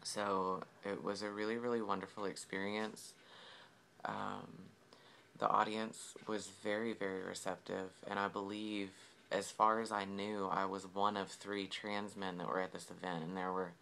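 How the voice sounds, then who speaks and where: A man's voice: on the low side, level tone, normal speed, vocal fry, sharp tone, a little hiss or hum. A young man, talking casually in a video diary, rambling with lots of fillers and easy laughs. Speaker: vlogger.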